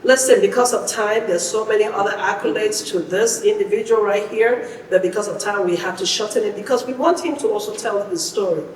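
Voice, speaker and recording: A woman's voice: mid-range voice, fairly quick, hardly any pauses. A woman hosting a podcast, introducing and interviewing with an emphatic delivery that repeats phrases for effect. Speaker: podcast host. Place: indoor podcast studio. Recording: echoey, reverberant room, distant mic, faint noise.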